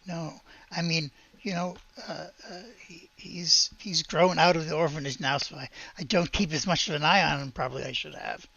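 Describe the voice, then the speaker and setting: A man voicing a worried, weary woman who runs an orphanage, speaking in a hesitant, halting, subdued way. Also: a little high, fairly even intonation, creaky, pushed and bright, lo-fi sound.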